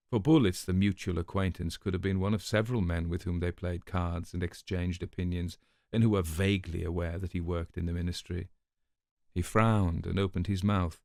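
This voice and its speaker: A man's voice: low voice, vocal fry, a little mellow, no echo. An actor playing an urbane, sardonic older man telling a story in a radio drama, with dry irony and precise wording.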